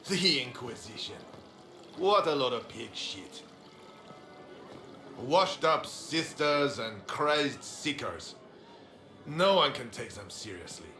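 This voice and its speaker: A man's voice: mid-range voice, big pitch swings, takes it slowly, pauses often, pushed and bright, reverberant, soft background music, heavily acted. An actor playing a haughty, belligerent nobleman in a fantasy video game, pompous and sneering. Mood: scornful.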